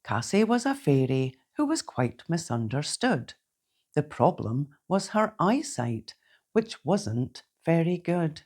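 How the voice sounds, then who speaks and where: A woman reading a children's bedtime story aloud in a gentle, theatrical, deliberate way. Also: deep voice, very animated. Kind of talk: expressive read-aloud bedtime storytelling in rhyme.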